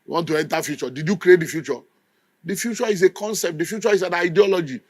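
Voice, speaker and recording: A man's voice: sits high, quick pace, no echo. A middle-aged Nigerian man preaching a sermon with an emphatic, scolding delivery. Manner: emphatic, scolding, mimics people in quotes. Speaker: pastor.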